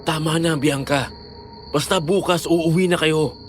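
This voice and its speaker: A man's voice: fairly high pitch, fairly even intonation, measured pace, natural voice. An actor playing a protective, determined young boyfriend in an audio horror drama, firm in his manner. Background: music.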